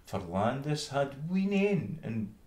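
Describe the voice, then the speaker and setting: A man's voice: mid-range voice, sing-song, takes it slowly, darkish tone, roomy sound, pitched above the performer's own voice. Humble Scottish country mouse, voiced by a man. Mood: earnest, defensive. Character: humble, plain-living younger country mouse sister. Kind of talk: storybook verse reading.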